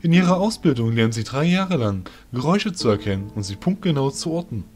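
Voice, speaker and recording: A man's voice: mid-range voice, big pitch swings, darkish tone, faint music behind, natural voice. A man acting a proud, courteous fairy soldier guide with a formal manner in a fantasy audio drama. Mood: proud, assured. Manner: measured, formal.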